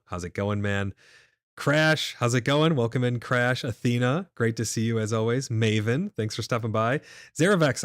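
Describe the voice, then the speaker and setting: A man's voice: lowish voice, no room sound, clean studio sound. A man hosting a live gaming stream, reading chat aloud and answering viewers casually, his voice a little nasal and stuffed up. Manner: casual, reads chat messages aloud and replies. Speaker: livestream host.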